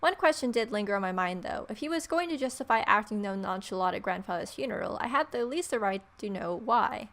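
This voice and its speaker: A young adult woman hosting a let's play, reading visual novel narration aloud in an irreverent, expressive way. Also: medium pitch, fairly quick, few pauses. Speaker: gaming video host.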